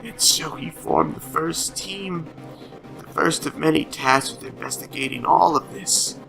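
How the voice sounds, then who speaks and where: A man's voice: fairly high pitch, a little slow, creaky, sharp tone. A man giving a theatrical, dramatic reading of a comic book as an audio drama. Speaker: channel host reading comics. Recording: background music under the voice.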